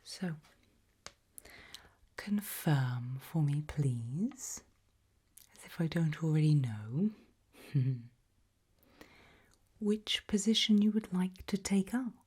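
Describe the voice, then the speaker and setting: Breathy whispering British woman, slow and low. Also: expressive, a little mellow. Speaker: ASMR roleplay host. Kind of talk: ASMR roleplay, whispered and soft-spoken.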